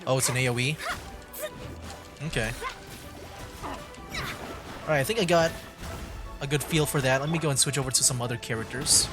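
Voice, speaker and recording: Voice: medium pitch, big pitch swings, moderate pace, long pauses, music in the background. A streamer chatting casually while playing a video game, curious and upbeat. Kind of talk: casual gameplay commentary.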